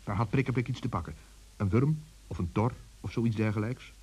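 A man's voice: fairly low pitch, a little fast, background noise. A man narrating a children's story intimately, speaking directly to listeners and characters.

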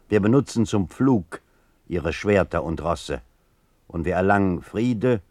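Deep, dry-voiced solemn political writer. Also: male voice, darkish tone, dry recording. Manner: earnest, measured, declamatory. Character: 19th-century male political writer, solemn, resolute, idealistic. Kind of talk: declaimed literary reading in a radio play.

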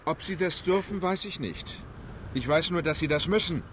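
Radio play: actor as composed gentleman, measured, slightly high, faint hiss. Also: lightly acted. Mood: resolute. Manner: measured, formal. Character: composed English gentleman, calm and resolute. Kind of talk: radio drama acting.

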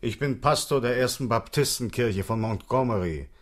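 An actor playing a polite, persistent pastor in a radio drama, formal and courteous. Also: male voice, medium pitch, moderate pace, lightly acted. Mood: polite, insistent.

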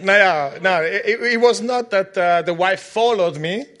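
A middle-aged man from Southern Italy preaching in English with an Italian accent, pausing in short phrases for an interpreter and adding humorous asides. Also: high voice, a bit bright. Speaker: guest preacher. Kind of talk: sermon with live interpreter.

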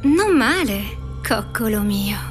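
A woman playing a calm, teasing, commanding signorina in a radio play, unhurried and seductive. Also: fairly high pitch, music in the background. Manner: unhurried, calm, friendly even when scolding.

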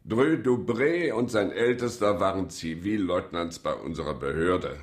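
A man's voice: low-pitched, unhurried. A man playing a condescending, dismissive police president with a pompous, officious manner in a radio crime drama. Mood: smoothly condescending.

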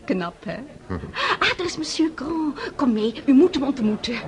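A gushing Russian salon hostess in a radio play, with a highish, lively voice. She is affected, chatty and scolds playfully, with a clear murmur of party crowd behind her. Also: moderate pace. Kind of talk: radio drama acting.

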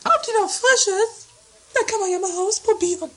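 A woman's voice: very high voice, normal speed, fairly bright tone. A woman playing a vain, theatrical, scolding sister in an amateur comedy radio play. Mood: haughty. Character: Mathilde, vain theatrical sister in a castle household.

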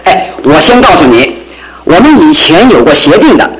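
A man's voice: on the high side, sing-song, moderate pace, over a noisy crowd, poor audio. An actor playing a breezy, cheeky young man in love in a radio comedy, teasing and chuckling. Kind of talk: old-fashioned radio comedy acting.

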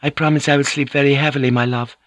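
A man voicing a gentle teasing noblewoman. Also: mid-range voice, flat delivery, quick pace, no echo, pitched above the performer's own voice, natural voice. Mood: coaxing. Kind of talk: audiobook character voice.

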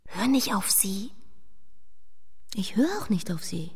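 A woman's voice: medium pitch, expressive, takes it slowly, husky, dry recording, studio quality, heavily acted. An actress playing a headstrong, scornful young woman in a radio play, emphatic and dramatic, at times hissing in whispers. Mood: dismissive. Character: headstrong, scornful young female, blunt and insistent. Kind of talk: German radio play acting.

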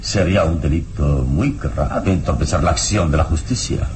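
An actor playing a calm, resolute, courteous hero in a vintage radio drama, speaking with solemn, deliberate gravity. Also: male voice, a bass voice. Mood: grave, firm. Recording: faintly noisy old radio recording.

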